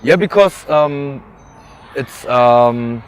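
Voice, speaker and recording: A young man from Germany, a musician being interviewed, who talks in a relaxed, hesitant way with lots of fillers and laughs. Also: medium pitch, drawn-out words. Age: young adult. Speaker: musician guest. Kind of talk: casual interview. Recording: faint background noise.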